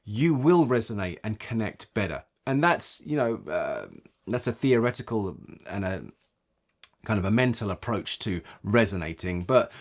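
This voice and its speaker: A man's voice: on the low side, animated, normal speed. A man giving an instructional talk as a voice coach, explaining points emphatically and checking in with short rhetorical questions. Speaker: voice acting coach.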